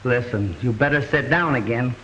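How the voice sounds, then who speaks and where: An actor playing a brash, wisecracking, exasperated police detective in the style of an old mystery film. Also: male voice, medium pitch, normal speed, fairly bright tone, highs cut off, close to an everyday voice. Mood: urgent, explaining. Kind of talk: 1930s-40s mystery film acting. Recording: noisy vintage mystery film soundtrack.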